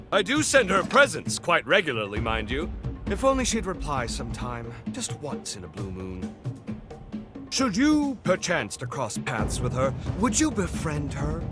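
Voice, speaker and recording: A man playing a grandiose, eccentric, tea-loving gentleman in a video game, with a florid, pompous and theatrical way of talking. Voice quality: high-pitched, swooping delivery. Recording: music behind the voice. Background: clear background music.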